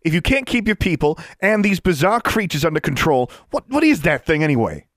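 Man putting on a shrill, blustering official. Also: sing-song, brisk, no room sound, studio quality. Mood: indignant. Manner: shouting, indignant, smug. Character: blustering middle-aged male government official, angry. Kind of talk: audiobook character voice.

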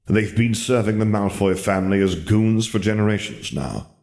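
A man voicing a cold, calculating spirit mentor for an audiobook, speaking in a measured, deliberate way with quiet intensity. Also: gravelly. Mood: dry, matter-of-fact. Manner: measured, low-key, deliberate. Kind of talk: audiobook character voice.

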